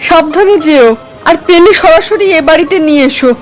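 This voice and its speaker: A woman's voice: very high-pitched, a little fast, faint music behind, rough recording. A woman playing an anxious, protective village auntie in a horror cartoon, worried and insistent.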